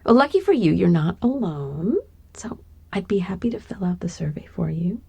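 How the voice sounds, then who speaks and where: A woman playing a gentle giantess secretary in an ASMR roleplay, doting and coy, with a soft, breathy voice that often slips toward a whisper. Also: lowish voice, lively intonation, normal speed, full character voice. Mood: helpful, playful. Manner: tender, coy, hesitant, giggly asides. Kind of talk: ASMR roleplay, intimate one-sided conversation.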